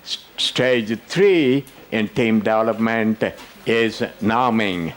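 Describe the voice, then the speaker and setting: Older guy running a workshop, slow talker, cracks jokes at the crowd. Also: fairly low pitch. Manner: joking, teasing the audience. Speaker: workshop presenter. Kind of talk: interactive conference workshop talk.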